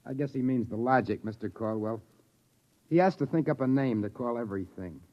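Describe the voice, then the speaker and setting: A man's voice: medium pitch, brisk, close to an everyday voice. An actor playing a timid, resigned father in a 1950s radio drama. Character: meek, worn-down father of a bratty child. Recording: muffled 1950s radio broadcast recording.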